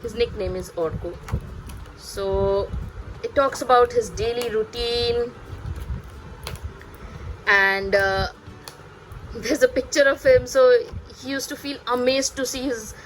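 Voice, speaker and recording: A woman in her thirties, a native Bengali speaker, chatting hesitantly as a parenting vlogger, pausing and repeating words. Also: fairly high pitch, fairly even intonation, slight background noise, rough recording. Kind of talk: casual chatty vlog recommending books.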